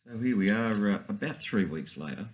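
A man, talking casually and haltingly in a garage as host of his own channel. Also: fairly low pitch, animated. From Australia. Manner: rambling, hesitant with many fillers. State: hoarse after a night out. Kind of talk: casual vlog. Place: cluttered garage workshop.

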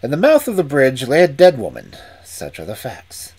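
A reader voicing a calm, incisive Victorian detective who reasons aloud in a measured, deliberate way. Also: medium pitch, breathy edge. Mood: reasoning. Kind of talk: audiobook reading.

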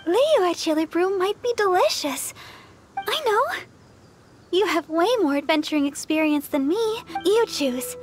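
A woman playing a sweet, earnest young church healer in an anime-style video game, polite and gentle. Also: very high-pitched, expressive, a little slow. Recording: loud game music behind.

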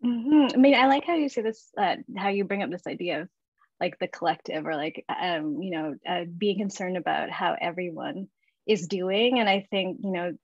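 A woman in her thirties speaking in a measured, reflective way on a video call from her living room, thinking aloud as she talks. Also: fairly low pitch, slightly rough, no room sound. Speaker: artist and guest speaker. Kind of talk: reflective conversation. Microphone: video call with earbuds. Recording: dull dry video call audio.

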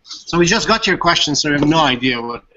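A man architect chatting casually on a video call, upbeat with quick asides. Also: a little high, animated, background noise. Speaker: architect, interviewee. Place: open-plan office. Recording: muffled video-call audio.

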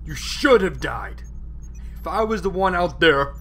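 Man playing a bitter, sharp-voiced skeleton brother. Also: high-pitched, lively intonation, a little slow, full character voice. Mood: bitter anger. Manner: accusing, intense. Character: bitter, vengeful young male skeleton brother. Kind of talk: dramatic comic dub voice acting. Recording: faint background noise.

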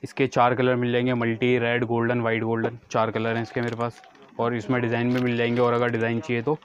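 A man's voice: medium pitch, completely flat delivery, fast, highs cut off, slight background noise. A man giving a matter-of-fact product showcase as a wholesale shopkeeper, repeating prices and details. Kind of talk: product showcase sales talk. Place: small packed wholesale bangle shop, indoors. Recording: busy shop chatter behind the voice.

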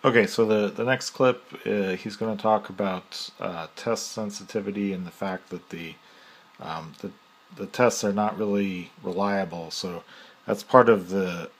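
A man from the United States, hosting a show, explaining medical terms in a relaxed, hesitant way with many fillers. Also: on the low side, a little slow. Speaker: podcast host and commentator. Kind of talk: explanatory commentary.

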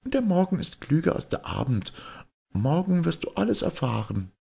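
High, breathy fairy-tale wife voiced by a man. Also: very animated, normal speed, a little mellow, exaggerated voice. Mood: gentle, calm. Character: clever, calm, devoted young wife in fairy tale.

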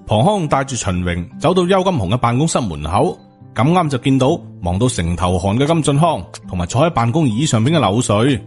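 A man's voice: medium pitch, big pitch swings, brisk, a little mellow. A man with a Hong Kong Cantonese accent narrating a novel as an audiobook storyteller. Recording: music under the voice. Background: background music.

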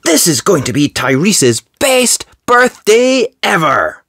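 A reader putting on an eager, high-pitched young rescue pup. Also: big pitch swings, slow, no echo, clean studio sound. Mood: excited, eager.

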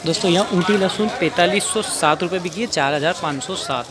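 A man stands in a packed outdoor market, phone in hand, reading off crop prices and saying each figure twice. His voice sits a little high, and a loud crowd and passing vehicles press in all around him. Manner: repeats each price in a brisk listing way. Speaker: market rate reporter. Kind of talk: on-the-spot market report. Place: busy outdoor produce market.

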